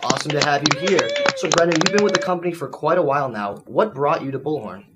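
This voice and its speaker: A man's voice: fairly high pitch, expressive, quick pace. A man hosting an interview, putting prepared questions to his guest, with background noise in the recording. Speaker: interview host.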